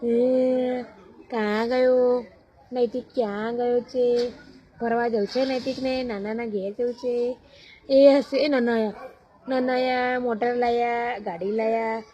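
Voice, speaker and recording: A woman's voice: on the high side, fairly even intonation, slow, mellow. A woman with a Gujarati accent talking playfully to a baby, repeating phrases and chanting nursery rhymes in a sing-song way. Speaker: caregiver playing with a baby. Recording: dull, lo-fi home recording.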